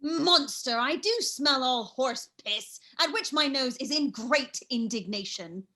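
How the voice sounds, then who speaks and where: A woman playing a foolish, drunken, excitable jester, exclaiming theatrically in a Shakespearean radio play. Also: high voice, measured pace, full character voice. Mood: whiny, indignant.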